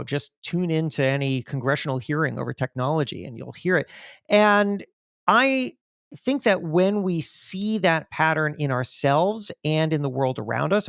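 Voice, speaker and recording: A man's voice: a little high, vocal fry, a bit bright, no echo, clean studio sound. A middle-aged man telling stories as an interview guest, emphatic and repeating phrases for effect. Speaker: podcast guest, magazine editor and author. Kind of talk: animated storytelling in an interview.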